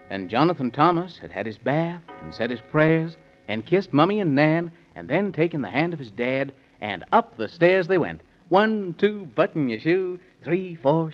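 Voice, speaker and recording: A male narrator delivers rhyming children's narration in a fairly high, swooping, sing-song voice. He has a playful 1930s radio manner, on a dull old recording.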